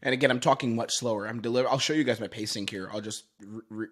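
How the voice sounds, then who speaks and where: A man's voice: mid-range voice, fairly even intonation, quick pace. A man giving explanatory commentary as a sales coach, stressing his points emphatically and repeating phrases.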